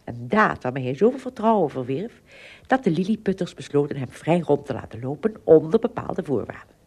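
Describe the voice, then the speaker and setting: A woman with a slightly husky voice, narrating a story in a dramatic storytelling style. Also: sits low, big pitch swings, fairly quick, dark tone.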